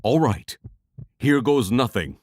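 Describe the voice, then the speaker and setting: A man playing a wry, cautious young lightning mage in a fantasy audio drama, talking in a measured, wordy way. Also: medium pitch, expressive, darkish tone, no room sound, studio quality.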